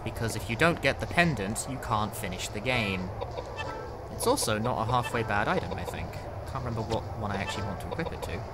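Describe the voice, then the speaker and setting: A man's voice: on the low side, very noisy. A young man giving casual, rambling game commentary as a speedrun streamer, wry and playful. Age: young adult. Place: indoor room at home. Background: game music.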